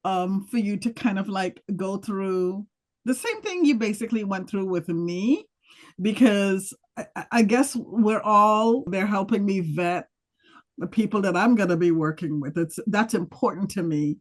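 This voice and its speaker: A woman's voice: mid-range voice, a little slow. A woman, a prospective client on a video call, speaking hesitantly with many fillers and restarts.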